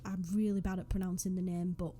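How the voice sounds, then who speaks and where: A woman's voice: fairly low pitch, little pitch movement, fast talker, mellow. A young woman from northwest England with a Northwest English accent, chatting casually and rambling with self-deprecating asides over a game build. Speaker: Sims YouTuber. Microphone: USB desk microphone.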